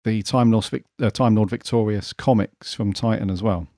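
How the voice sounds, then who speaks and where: Low, mellow man. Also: fairly even intonation, moderate pace, no room sound, studio quality. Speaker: podcast co-host. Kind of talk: casual chat.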